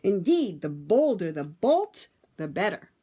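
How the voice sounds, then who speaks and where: A woman reading a picture book aloud to children, with dramatic, emphatic phrasing and drawn-out words. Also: medium pitch, big pitch swings, dark tone, slightly rough.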